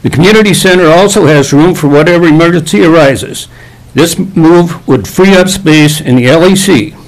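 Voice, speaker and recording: A man delivering a prepared public comment in a measured, formal manner. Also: on the high side, low-quality recording. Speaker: public commenter at a county meeting.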